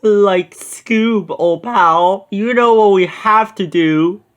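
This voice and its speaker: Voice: mid-range voice, slow pace, a bit bright, clean tone, pitched above the performer's own voice. A reader voicing a cowardly, goofy young male hippie slacker with cartoonish exclamations in a storybook read-aloud. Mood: anxious, whiny. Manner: cartoonish exclamations, sprinkles speech with like.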